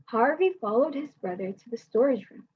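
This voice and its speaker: A young woman student reads a children's picture book aloud over a video call. Her voice sits slightly high and breathy, and she fills her small talk with hesitant "um"s. The call audio is dull and lo-fi. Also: lively intonation, moderate pace. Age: young adult. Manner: hesitant with fillers when talking freely. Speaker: student volunteer reader.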